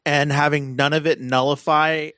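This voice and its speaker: A man hosting a film podcast, casually analytical, with measured and articulate phrasing. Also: a little high, little pitch movement, sharp tone, dry recording, studio quality. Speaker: podcast co-host.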